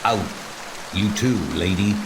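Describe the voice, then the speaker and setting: Guy acting a tough bossy crook boss, lowish voice, clipped orders, comic dub, rain noise behind. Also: slow, vocal fry. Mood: threatening, bossy. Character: hard-boiled gangster convict leader barking orders.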